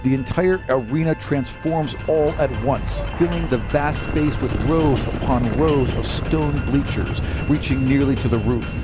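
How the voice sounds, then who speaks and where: A man speaking as game master, narrating and chatting at a tabletop game. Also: medium pitch.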